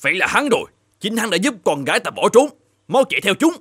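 A man's voice: high-pitched, sing-song, quick pace, fairly bright tone, breathy edge, dry recording, clean studio sound, heavily acted. An actor playing a hot-tempered, domineering middle-aged innkeeper and vengeful father in a cartoon folk tale, blustering and threatening. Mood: furious, threatening.

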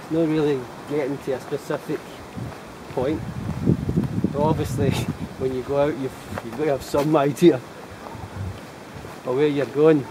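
A man's voice: mid-range voice, steady tone, measured pace, pauses often. A middle-aged Scottish man chatting casually to a handheld camera as he hikes outdoors. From Scotland. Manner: chatty, rambling talk to camera while walking. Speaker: vlogger. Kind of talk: casual hiking vlog. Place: outdoors on roadsides and forest paths. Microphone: camera held at arm's length. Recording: loud wind and passing traffic.

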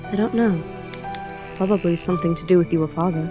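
A woman's voice: lowish voice, a little fast, natural voice. A woman playing a grieving young princess in an audio drama, wistful and introspective, longing for her father. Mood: guarded. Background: music.

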